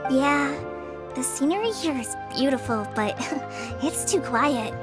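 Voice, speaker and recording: Shy earnest young ranger, high lively voice, game music behind. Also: female voice, takes it slowly. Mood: uneasy. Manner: shy, earnest, nervous laughs. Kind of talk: video game cutscene dialogue.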